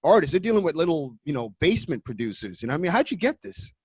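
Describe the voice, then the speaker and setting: A man hosts a radio interview in a loose, casual way, often checking in with "you know what I mean". His voice sits a bit high for a man. The sound is dry and close, with no room around it. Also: very animated, fast, darkish tone, breathy edge, no room sound. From Canada. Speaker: radio show host.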